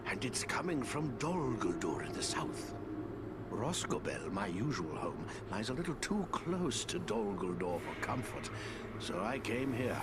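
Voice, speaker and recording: An actor playing an eccentric, kindly old woodland wizard in a fantasy game, rambling along in a fussy, whimsical way. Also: male voice, on the low side, heavy background noise. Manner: rambling, fussy, whimsical, genteel British phrasing. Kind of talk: theatrical fantasy video game acting.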